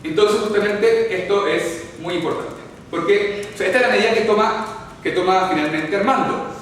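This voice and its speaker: A man with a Chilean accent teaches a classroom, explaining points and checking in with his listeners. His voice sits high for a man. The recording is from far off in a large echoey hall with a long reverb tail. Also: normal speed, fairly bright tone, slight background noise. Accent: Chilean Spanish. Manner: explanatory, checks in often with the audience, chatty asides. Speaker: orthodontics lecturer. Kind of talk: live classroom lecture with audience questions. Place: lecture hall.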